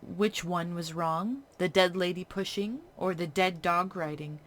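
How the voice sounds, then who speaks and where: A woman playing a horror visual novel, reading its text in a hush and breaking off into casual reactions. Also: on the low side, fairly even intonation, a little slow, a little hiss or hum. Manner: hushed reading broken by chatty reactions. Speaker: game streamer. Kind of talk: reading a game's text aloud with casual commentary.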